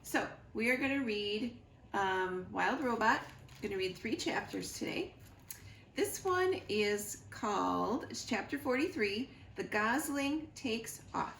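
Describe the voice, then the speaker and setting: A woman reading a storybook aloud to children in an expressive, deliberate storytelling way, as a school librarian. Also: on the high side, gravelly. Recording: roomy echo, noticeable background noise.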